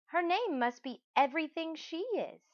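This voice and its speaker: A woman's voice: sits high, moderate pace, no room sound, lo-fi sound, voice pushed up, lightly acted. A woman voicing a doting, cheerful storybook mother with gushing, singsong emphasis.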